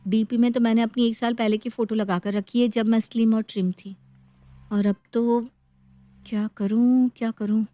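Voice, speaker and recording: A woman's voice: on the high side, flat delivery, normal speed, warm tone, no echo, natural voice. A woman voicing a friendly, self-conscious young woman who mixes English into chatty Hindi. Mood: smitten, worried.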